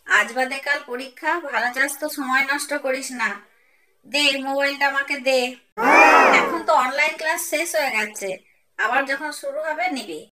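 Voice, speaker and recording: A woman's voice: high voice, normal speed, a loud crowd, close to an everyday voice. A woman playing a strict, fussing mother in an amateur cartoon, bossy and scolding. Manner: scolding, bossy, hushing others. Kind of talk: amateur cartoon comedy voice acting. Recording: heavy background noise, clicks.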